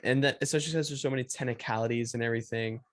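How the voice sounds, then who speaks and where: Relaxed young male podcast host. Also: medium pitch, rapid-fire. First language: not English. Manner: relaxed, rambling, many "like" fillers. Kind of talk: casual interview chat. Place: small room at home. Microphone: studio mic on stand, video call.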